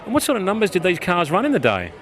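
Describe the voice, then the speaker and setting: Aussie guy hosting car show interviews outdoors, quick and keen. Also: high voice, huge pitch swings, some chatter behind. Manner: enthusiastic, chatty. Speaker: host.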